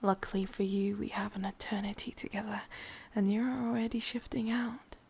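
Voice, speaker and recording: A woman voicing a sadistic, possessive witch lover in a breathy, husky whisper with an English accent, intimate and teasing, for an ASMR roleplay. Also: mid-range voice, fairly even intonation, moderate pace, darkish tone, a little hiss or hum, natural voice. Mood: gloating, sadistic.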